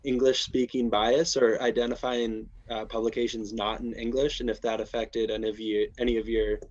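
A man's voice: mid-range voice, flat delivery, normal speed, a little mellow. Man on a dull video call. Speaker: audience questioner. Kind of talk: tentative conversational question. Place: indoors in a dim room on a video call.

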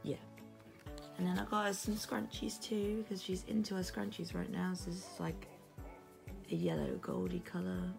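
A young woman with a hoarse, gravelly voice from losing it, chatting casually through a home vlog while filming on her phone at arm's length. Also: fairly low pitch, lively intonation, moderate pace, pauses often. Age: young adult. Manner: chatty, narrating as she goes. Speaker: vlogger. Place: home, indoors. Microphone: phone held at arm's length. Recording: music playing over voice.

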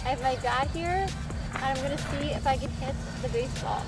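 A young woman vlogging in a park, her voice high and a little hoarse. She talks in a giggly, apologetic way, full of fillers. The camera mic catches her outdoors, with clear background music under her voice. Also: a little fast. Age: young adult. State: slightly hoarse, losing her voice. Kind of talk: casual vlog talk to camera. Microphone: handheld camera mic, sometimes far camera.

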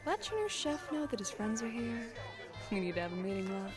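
A woman's voice: fairly high pitch, big pitch swings, fairly quick. A woman playing a brash, bossy adventurer with a blunt, teasing manner. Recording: loud music under the voice.

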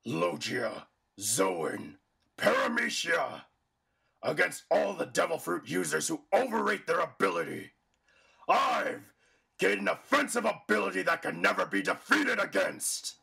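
A man playing a boastful, menacing pirate villain in an anime fan dub, with a gravelly, growling, theatrical delivery. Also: high voice, very animated, a little slow, pushed and bright, heavily acted. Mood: gloating. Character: gleeful, boastful hulking pirate villain wielding darkness.

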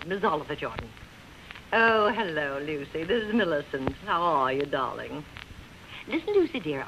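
An actress playing an effusive, socially ambitious society wife in a 1940s radio drama, brisk and chatty. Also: female voice, medium pitch, close to an everyday voice. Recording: dull, noisy vintage radio broadcast.

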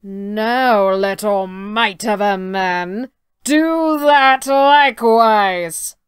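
A woman voicing a huge male giant, speaking slowly and loudly with drawn-out words. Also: mid-range voice, clear, resonant tone, dry recording, studio quality. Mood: booming. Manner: slow, loud, drawn-out delivery. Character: huge gruff male giant, slow and booming.

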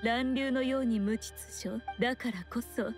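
A woman acts out a poised fox shrine maiden from an anime game, high in pitch and sly. She speaks with unhurried, teasing grandeur in archaic phrasing, with music playing underneath. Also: natural voice. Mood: calm, composed. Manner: unhurried, teasing, lofty old-fashioned speech.

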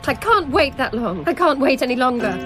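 A woman's voice: high-pitched, very animated, brisk, music in the background. An actress playing a trapped, frustrated lady's companion in a radio play. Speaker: actor. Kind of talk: dramatic radio play acting.

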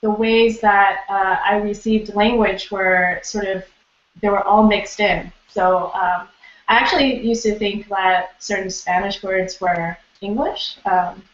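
A woman's voice: medium pitch, steady tone, takes it slowly. La poet woman, vietnamese first language, lots of ums, echoey room, dull webcam sound. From Los Angeles. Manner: reflective, with many fillers and restarts. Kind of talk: reading Q&A over video call. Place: small room indoors. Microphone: laptop webcam on video call. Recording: echoey, dull video call audio.

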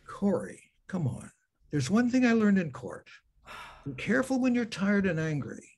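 Husky, swoopy male actor as weary newlywed husband, exasperated and stammering, for stage comedy. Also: high voice, very animated, moderate pace, exaggerated voice. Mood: exasperated, reasoning. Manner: stammering, repeats himself when flustered. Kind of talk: stage comedy scene acting.

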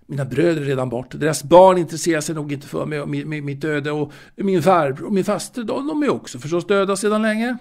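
An actor playing a lonely, melancholy ageing waiter in an intimate audio drama monologue, halting and reflective, repeating himself and pausing. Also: male voice, on the high side, gravelly, darkish tone. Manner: halting, reflective, fussy, with repetitions and pauses. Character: lonely, melancholy ageing waiter reminiscing about his late mother.

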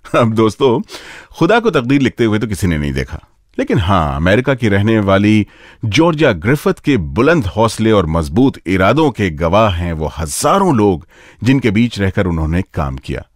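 Animated male radio host, dry close sound. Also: on the low side, normal speed, no room sound. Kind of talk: scripted radio presenting with playful asides.

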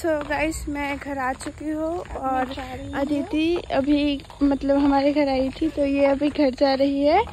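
A woman's voice: sits high, flat delivery, takes it slowly, hardly any pauses, background noise. A young woman vlogging casually to a phone held at arm's length, chatty with hesitant restarts and easy laughter, from street to cafe to outdoors at night. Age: young adult. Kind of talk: casual vlog chat to camera.

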